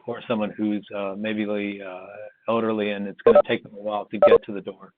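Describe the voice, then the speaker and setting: A man's voice: fairly low pitch, level tone, brisk, heavy background noise. A man discussing his project on an online call, thinking aloud hesitantly. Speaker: student presenting a project. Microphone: video call. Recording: tinny phone-like call audio.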